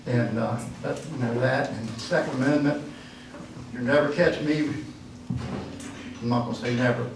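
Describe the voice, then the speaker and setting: A man's voice: medium pitch, fairly even intonation, measured pace, background noise. An older man giving a folksy, rambling campaign speech at a dinner. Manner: folksy, rambling, with frequent fillers. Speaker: political candidate. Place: church hall at a dinner event. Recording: echoey hall from far off.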